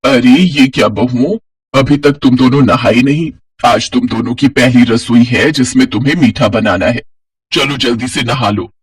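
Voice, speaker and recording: Pious mother-in-law, voiced by a man, storybook, disapproving, admonishing, lowered pitch, husky. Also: normal speed. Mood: gently admonishing.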